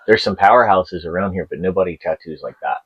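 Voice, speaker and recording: A man's voice: deep voice, quick pace, slightly rough, highs cut off. A man hosting a casual interview, encouraging his guest with frequent short affirmations. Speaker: podcast host.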